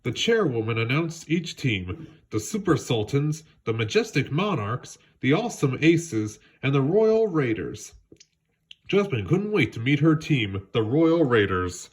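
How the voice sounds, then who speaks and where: Expressive man reading a children's story. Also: mid-range voice, unhurried, slightly rough. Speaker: storybook reader. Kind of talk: read-aloud children's story narration.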